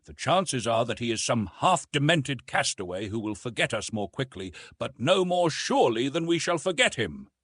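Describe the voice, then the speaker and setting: A man voicing a formal, jealous gentleman in an audiobook, precise and insistent. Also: medium pitch, big pitch swings, normal speed, few pauses, slightly rough, dry recording, clean studio sound. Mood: jealous, sneering. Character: chivalrous but jealous gentleman, formal and sneering.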